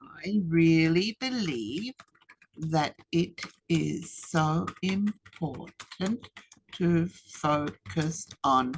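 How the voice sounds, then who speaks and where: A woman, giving a rehearsed webinar presentation in a measured, deliberate way with emphatic pauses. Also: on the low side, a bit bright, breathy edge, poor audio. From Australia. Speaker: speaker. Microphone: webinar computer mic.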